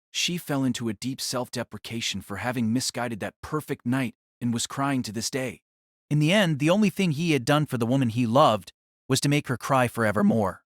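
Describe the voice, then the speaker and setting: Expressive male narrator, solemn and theatrical. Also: fairly high pitch, no room sound, studio quality. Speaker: audiobook narrator. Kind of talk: dramatic scripted novel narration.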